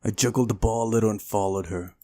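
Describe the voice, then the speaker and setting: A man's voice: fairly low pitch, steady tone, quick pace, noisy background. A man hosting a visual novel playthrough, reading the text aloud and adding incredulous, exasperated asides. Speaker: video game playthrough host. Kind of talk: visual novel let's play, reading and reacting.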